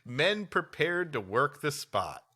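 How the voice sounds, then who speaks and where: A man's voice: on the high side, big pitch swings, slow pace, bright, forceful tone, slightly rough. A man co-hosting a comedy podcast, moving between scripted storytelling and bantering chat.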